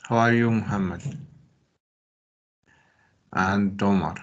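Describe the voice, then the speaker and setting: A man lecturing a small online university class, talking in short, unhurried phrases. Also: lowish voice, steady tone, lo-fi sound.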